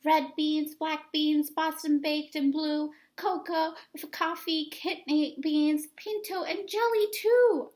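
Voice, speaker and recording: A woman's voice: sits high, steady tone, slow pace, few pauses, darkish tone. A woman voicing a small male chihuahua in a picture book, earnest and dramatic, with emphatic delivery. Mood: ominous.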